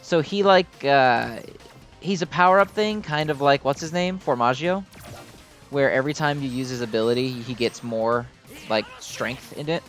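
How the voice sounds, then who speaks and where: Male gamer, medium pitch, chatty and enthusiastic fan commentary, loosely rambling, game music underneath. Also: normal speed, fairly bright tone. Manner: rambling, enthusiastic fan talk with mild swearing. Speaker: gamer commenting on own gameplay. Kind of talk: casual gameplay commentary.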